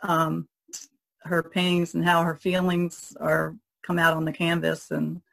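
A woman's voice: lowish voice, completely flat delivery, a little slow, creaky, fairly bright tone, poor audio. A woman on a podcast panel, talking in casual discussion.

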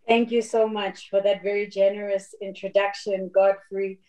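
A woman from South Africa reciting her own poem over a video call, with a measured, rhythmic, declamatory delivery. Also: mid-range voice, little pitch movement, darkish tone, rough recording. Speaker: poet. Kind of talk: poetry recital. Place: home room with large window, indoors.

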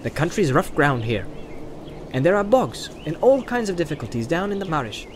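Pippin, a restless young hobbit with a quick, high, bouncy voice, as a male reader puts him on for a fantasy audiobook. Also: slight background noise. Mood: eager. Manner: quick, lively, raised pitch. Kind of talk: audiobook character voice.

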